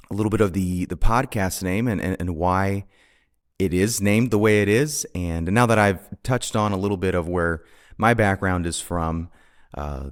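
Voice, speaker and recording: A man in his thirties hosting a solo podcast, talking through his past unscripted in an earnest, reflective way, often repeating words and restarting sentences. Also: sits low. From Honduras. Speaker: podcast host.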